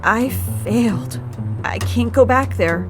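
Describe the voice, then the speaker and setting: A woman playing an earnest, self-doubting female cat doctor in an audio drama, with a halting, melodramatic delivery. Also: on the high side, big pitch swings, over music. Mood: dejected.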